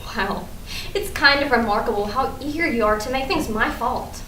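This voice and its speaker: Proud, guarded schoolgirl played by a woman, bright forceful highish voice, emphatic and dry, roomy staged reading. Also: moderate pace, lightly acted. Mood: hurt, firm. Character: proud, blunt, guarded high school girl. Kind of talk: staged play reading, teen dialogue. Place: indoor room at a staged reading. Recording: roomy, distant mic, faint noise.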